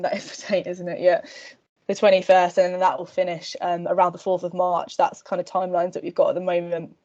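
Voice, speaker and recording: Brief: woman, lowish voice, relaxed presenter with frequent ums, dull video-call sound. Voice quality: relaxed, filler-heavy delivery with many ums. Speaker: project team member hosting a video-call show and tell. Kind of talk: casual work presentation and Q&A.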